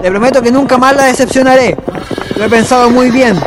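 A man's voice: very high-pitched, fairly quick, background noise. An actor playing a brash young Chilean horseman, a boastful and ardent sweet-talker, declaiming in a radio drama. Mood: earnest pleading.

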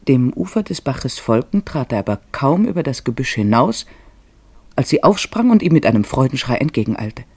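A man narrating a literary radio play in a measured, descriptive storytelling manner. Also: medium pitch, sing-song, a little mellow, breathy edge, dry recording. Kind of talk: scripted literary storytelling in German radio drama.

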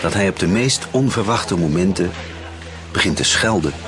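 A man's voice: low voice, animated, moderate pace. A man reading scripted narration for an advert. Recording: loud music behind the voice.

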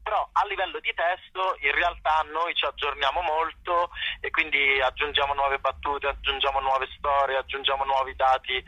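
Man, casual interview guest, halting delivery, forceful edgy tone, dry lo-fi broadcast sound. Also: medium pitch, flat delivery, dry recording. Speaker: theatre director and performer, interview guest. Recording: dull, dry radio or phone-line sound.

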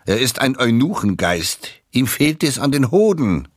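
A man performing a scripted literary recitation of scathing insults with measured, biting delivery. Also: medium pitch, big pitch swings, dry recording, clean studio sound. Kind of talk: scripted literary recitation, quote then author's name.

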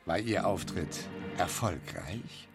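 An actor playing a sly costume-shop owner in a radio play, oily, obliging and suggestive. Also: male voice, low-pitched, huge pitch swings, slow pace, gravelly, over music, full character voice. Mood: guarded, probing.

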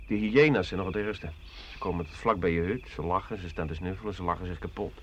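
Low-voiced rough drifter soldier played by a man. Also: fast talker, natural voice. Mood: haunted. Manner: colloquial, hesitant, chatty storytelling. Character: rough, talkative soldier turned colonial drifter, world-weary comrade. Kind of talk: 1980 Dutch radio play dialogue. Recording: dull, noisy old radio recording.

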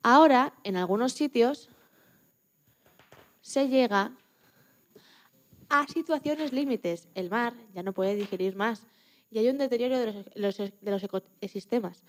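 A woman with a fairly high-pitched voice speaks as a guest in an interview. She gives explanatory answers to the questions put to her. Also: unhurried, pauses often.

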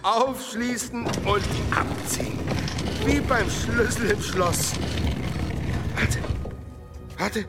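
An actor playing a frightened, pious medieval monk in a radio play, muttering breathless pleas and prayers. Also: male voice, high-pitched, lively intonation, very slow, long pauses, exaggerated voice. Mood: awed, anxious. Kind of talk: German radio play acting. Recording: long stone-hall reverb, music bed.

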